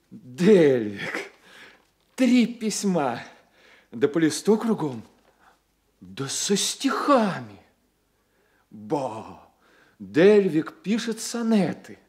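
An actor playing an ardent, affectionate young poet in a radio play, speaking expressively and savoring the words. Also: male voice, medium pitch, darkish tone, natural voice. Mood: eager, fond. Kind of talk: radio play acting, verse declamation.

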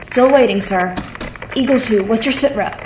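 An actress playing a businesslike military base controller in an audio drama, speaking in clipped radio protocol. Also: female voice, on the high side, moderate pace, lightly acted. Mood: businesslike, steady. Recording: distant radio, loud noise behind.

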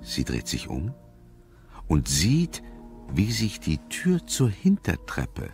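A man's voice: low-pitched, huge pitch swings, takes it slowly, a little mellow. A man narrating a German suspense radio play in a scripted, storytelling style. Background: music.

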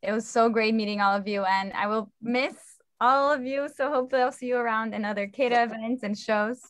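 A woman's voice: a little high, fairly quick, clean tone. A young woman speaking informally and earnestly as a play director on a video call, full of appreciation. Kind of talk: informal thanks to a cast. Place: indoor room on a video call.

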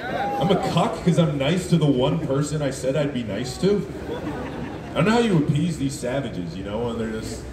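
A man in his thirties, from Alberta, with a deep voice, performing a deadpan, self-mocking stand-up comedy set and teasing the audience. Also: normal speed, noisy background. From Canada (Alberta). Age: 30s. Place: outdoor festival stage in a city park at night. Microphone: PA speakers recorded by a phone in the crowd. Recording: echoey amplified sound from far off. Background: laughing, cheering crowd.

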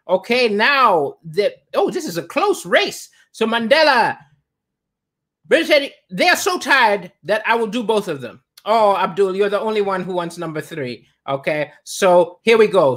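A middle-aged man from Malawi doing stand-up over a livestream, animated and chatty between jokes. He talks to a webcam from his home kitchen, voice close and unprocessed. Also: sits high. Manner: animated, gesturing, shifts between chatty asides and punchlines. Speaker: comedian and livestream host. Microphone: webcam at desk.

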